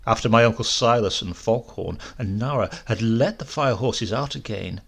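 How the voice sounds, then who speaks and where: A man reading a comic tale aloud as its narrator, in a lively, wry and expressive way. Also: medium pitch, moderate pace, creaky, husky. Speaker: reader, narrator. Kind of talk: storytelling audiobook narration.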